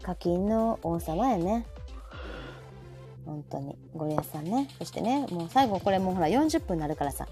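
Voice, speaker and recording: A woman host speaks with a Kansai accent in an easy, conversational style. Her mellow voice swings freely up and down as she reacts and chimes in expressively. Faint music plays underneath. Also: on the low side, normal speed. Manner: expressive, reacting with frequent backchannels. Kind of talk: casual chat.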